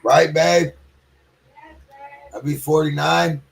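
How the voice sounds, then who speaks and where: A man in his forties trades teasing jokes in a casual podcast chat. His voice is gravelly, with a husky, rough edge. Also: fairly high pitch, fairly even intonation, slow pace. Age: 40s. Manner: joking, teasing.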